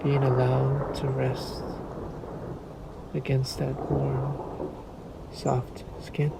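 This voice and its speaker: Man playing a slow, gravelly vampire lord. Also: mid-range voice, monotone, very noisy, full character voice. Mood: coaxing, insistent. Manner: suave, menacing, drawn-out formal politeness. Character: sadistic, courtly male vampire lord toying with prey. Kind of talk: scripted roleplay audio drama, addressed to listener.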